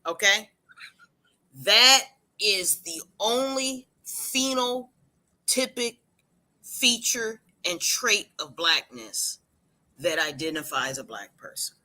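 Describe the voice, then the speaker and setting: A woman's voice: mid-range voice, lively intonation, bright, forceful tone, low-quality recording. A woman from Puerto Rico, a hairstylist, making her point emphatically on a livestream panel. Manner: emphatic, preachy, drawn-out lists.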